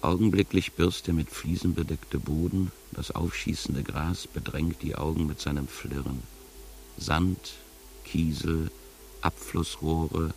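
A man playing a sardonic, world-weary narrator in a literary radio play, speaking deliberately and with dry irony. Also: rumbling low, fairly even intonation, a little mellow, soft background music. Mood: brooding. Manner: deliberate, poetic, dryly ironic. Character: sardonic, world-weary first-person wanderer and guide.

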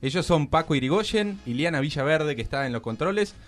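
Argentine man, radio presenter, lively intonation, slightly high voice, live interview, soft music behind. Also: fairly quick. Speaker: radio host.

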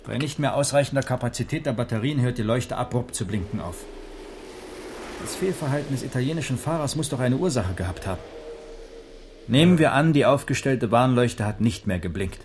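A man's voice: medium pitch, expressive, brisk, darkish tone, natural voice. An actor playing a sober traffic policeman in a radio crime drama, formal, precise and matter-of-fact. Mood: businesslike. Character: sober, methodical male traffic policeman taking a statement. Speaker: police officer taking a report. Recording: noisy 1980s radio play recording.

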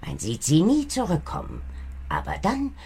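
A woman playing a devoted, suspicious servant and herbal healer in a German radio play, with a husky, deliberate, hushed delivery. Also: on the low side, wildly swooping pitch, heavily acted. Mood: suspicious, ominous.

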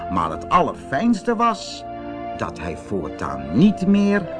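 A man narrating a scripted fairy tale for an audiobook in an expressive, dramatic storytelling manner, with a husky voice. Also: mid-range voice, slow pace, over music.

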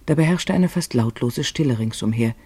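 A man's voice: mid-range voice, animated, moderate pace, dark tone, husky, no echo. A man narrating a literary radio play in a scripted storytelling style.

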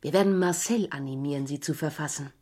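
A woman's voice: deep voice, fast, dark tone. An actress playing a cool, scheming young woman, a commissioner's daughter, in a vintage German radio crime drama. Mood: sly, conspiratorial.

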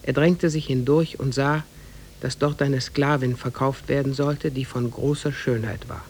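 A man playing a measured storyteller, narrating an old oriental fairy tale in a 1950s radio drama. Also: medium pitch, steady tone, a little mellow, lightly acted. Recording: faintly noisy 1950s radio recording.

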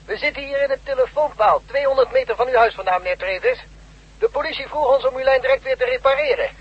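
A very high-pitched, fast telephone repairman in a radio drama. He is chirpy and chatty, through a dull phone effect. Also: husky. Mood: cheerful. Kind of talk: Dutch radio play acting. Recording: dull, lo-fi phone-call sound.

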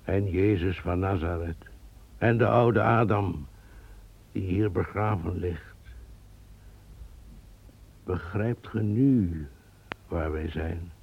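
A brooding man haunted by his traitor ancestor, voiced by an actor, with a deep, gravelly voice. He speaks slowly and solemnly, as if describing a vision. The old radio play recording sounds dull and muffled. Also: full character voice. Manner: solemn, measured, visionary storytelling. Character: brooding male guide, descendant of a traitor.

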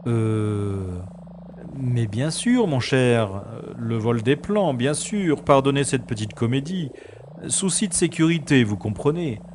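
An actor playing a self-assured secret agent in an audio drama, speaking with dry, formal irony. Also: male voice, medium pitch, expressive, slow, a little mellow, a little hiss or hum. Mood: smooth, evasive. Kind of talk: French audio drama acting. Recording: echoey, music behind.

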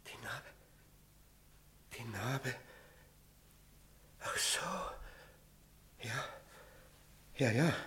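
A man playing a highly strung, impatient doctor gripped by chess mania in a radio drama, agitated and repeating himself. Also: darkish tone. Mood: dazed, anxious. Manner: agitated, stammering, repeating words.

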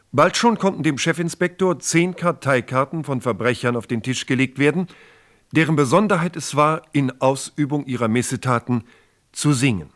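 Formal male crime narrator, medium pitch. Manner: formal, measured storytelling. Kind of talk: scripted true crime radio play narration.

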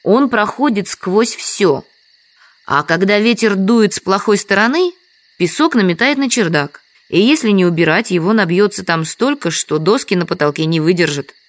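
An actress playing a meek, apologetic and quietly stubborn village hostess in an audio drama. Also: female voice, medium pitch, animated, normal speed, dry recording, close to an everyday voice. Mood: quietly insistent. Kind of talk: Russian audio drama dialogue.

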